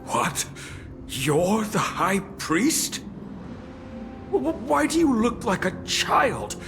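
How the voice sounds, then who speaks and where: Devout priest, slow n solemn, really swoops around, game dialogue. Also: male voice, high voice, very animated, gravelly, full character voice. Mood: shocked, contrite. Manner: solemn, formal, dramatic. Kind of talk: video game voice acting. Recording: loud game music underneath.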